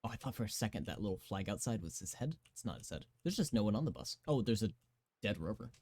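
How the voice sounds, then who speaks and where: A young man in his twenties with a slightly raspy voice rambles through live game commentary. He giggles a lot and fills gaps with "um" and "like". His pitch leaps wildly from low mumbles to high squeals. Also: very animated, fast talker, darkish tone, dry recording. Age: 20s. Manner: giggly, rambling chatter full of fillers. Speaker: streamer.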